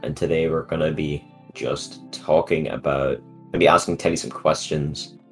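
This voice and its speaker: Young man, podcast host, extremely low voice, joking and halting, faint music behind. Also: steady tone. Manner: joking, self-deprecating, halting. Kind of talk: casual chat.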